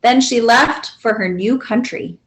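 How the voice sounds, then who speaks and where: A woman's voice: on the high side, measured pace, a little hiss or hum. A woman reading a children's picture book aloud as a library storyteller.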